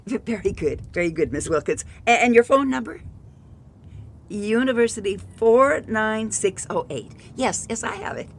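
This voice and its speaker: An actress playing a brisk, efficient, easily exasperated detective's secretary in a radio drama, with a dryly opinionated manner. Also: female voice, a little high, animated. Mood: polite, flustered.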